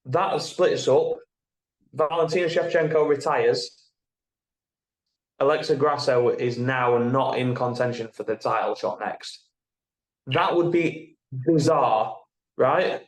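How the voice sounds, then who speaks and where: A man's voice: medium pitch, takes it slowly, pauses often. Man explaining sport in an echoey room. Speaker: podcast co-host. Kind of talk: casual sports podcast discussion. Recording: roomy, echoey sound.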